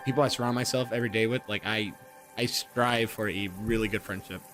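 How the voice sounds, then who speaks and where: A man's voice: lowish voice, fairly even intonation, a little fast, fairly bright tone. A man in his twenties reading aloud and chatting casually, rambling with fillers and repeated words, poking fun at himself. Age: 20s. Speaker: gaming streamer. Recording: music playing underneath. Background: music.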